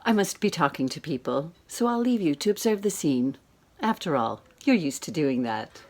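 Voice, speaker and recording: A woman's voice: sits low, big pitch swings, moderate pace, darkish tone. A woman playing a candid, sociable, gossipy lady in a dramatized novel reading, confiding with dramatic emphasis. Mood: confiding, brisk.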